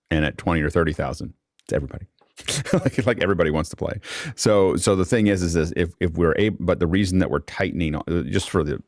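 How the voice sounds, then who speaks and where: Man, extremely low voice, gravelly fry, panel host, rambling, casual, thinking aloud. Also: no echo, studio quality. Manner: rambling, thinking aloud, often repeats words. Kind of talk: casual unscripted panel discussion. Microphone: close microphone on an online video call.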